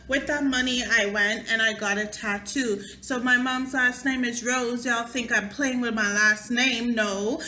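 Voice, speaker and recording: Young woman, bright voice, slow talk, candid storytelling, laughs a lot, echoey room at home. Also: a little high, a little hiss or hum. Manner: candid, laughs often, acts out quotes. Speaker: vlogger. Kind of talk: storytime vlog.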